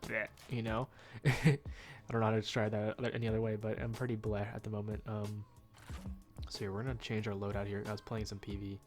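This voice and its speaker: A man's voice: lowish voice, level tone, a little fast, faint music behind. A young man with a nasal, stuffed-up voice giving rambling, casual commentary while he plays a game at home, full of ums and uhs. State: nasal from a cold. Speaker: gaming YouTuber.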